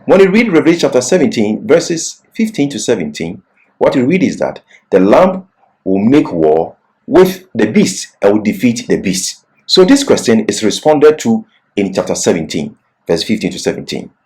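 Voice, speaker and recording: Man, bible teacher, earnest and deliberate, pitch swings a lot as he stresses points. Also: sing-song, a little mellow. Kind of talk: religious lesson, scripted exposition.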